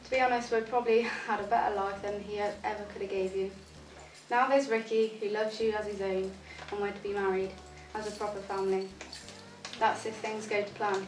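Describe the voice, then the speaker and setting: A woman's voice: mid-range voice, little pitch movement, fairly quick. A nineteen-year-old woman, a young mother, giving an earnest, reflective personal testimony. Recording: roomy, distant, noisy.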